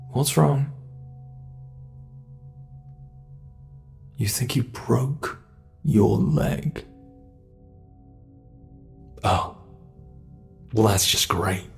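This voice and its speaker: A man's voice: medium pitch, vocal fry, bright, forceful tone, full character voice. A man with a British accent playing a mocking male monster who hunts a human, in an ASMR horror roleplay. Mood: irritated. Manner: mocking, drawn-out coaxing, impatient. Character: teasing predatory male monster hunting a human.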